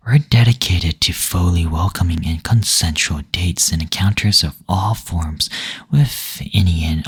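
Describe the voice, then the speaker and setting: Suave demon receptionist, male actor: deep, heavily breathy voice, seductive and teasing, for intimate audio roleplay. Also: heavily acted. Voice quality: breathy, husky, near-whispered. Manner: slow, coy teasing with endearments and drawn-out hums.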